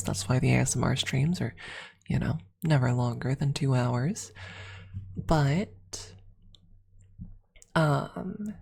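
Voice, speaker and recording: A woman hosting an ASMR livestream, chatting casually to viewers in a soft-spoken, intimate, rambling way. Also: low voice, creaky, husky.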